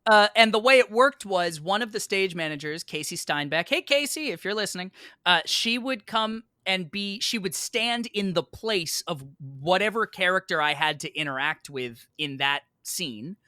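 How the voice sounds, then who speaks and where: A man with a bright, forward voice tells a casual story as a podcast guest. His pitch moves around a lot as he plays out each side of an exchange. The sound is dry, with hardly any room on it. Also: normal speed, no echo. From United States, New York. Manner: animated, acts out both sides of remembered exchanges. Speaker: podcast guest, stage actor.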